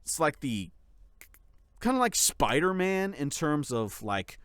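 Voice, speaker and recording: A man's voice: fairly high pitch, very animated, slow, fairly bright tone, smooth, no echo, clean studio sound. A man talking casually and unscripted to his followers as a content creator, rambling and often restarting his sentences. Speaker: channel creator and voice actor giving a series update.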